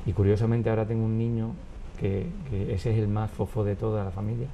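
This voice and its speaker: A man in his fifties, born in Cuba, chatting as an interview guest in a wood-panelled studio, telling family anecdotes with lots of restarts and lively acted quotes. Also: fairly low pitch, fairly quick, highs cut off, a little hiss or hum. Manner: animated, gestures with hands, many restarts and acted quotes. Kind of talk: relaxed conversational storytelling. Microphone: lapel mic.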